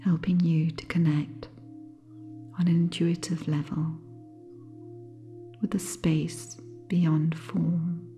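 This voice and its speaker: A woman with a low, soft, breathy voice leads a guided sleep meditation. She keeps a very slow pace and a level, barely moving intonation. Her manner is gentle and soothing, with faint singing bowl music behind her. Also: little pitch movement.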